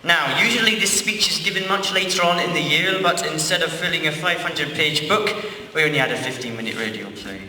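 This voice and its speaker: A man's voice: a little high, expressive, a little fast, bright, forceful tone. A young man playing a pompous, biased wizard school headmaster giving a mock speech in a comic amateur radio play. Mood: pompous, brisk. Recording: echoey hall from far off, faint noise.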